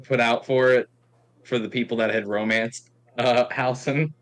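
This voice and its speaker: A man's voice: mid-range voice, steady tone, a little slow, no room sound. A man chatting casually in a wry, opinionated way. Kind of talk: casual chat.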